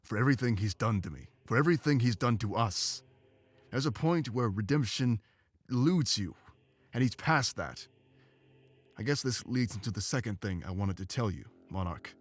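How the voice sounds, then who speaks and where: A man voicing an ancient, weary, remorseful animal companion in a visual novel game, speaking in an earnest, measured, confessional way. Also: lowish voice, lively intonation. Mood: bitter resolve.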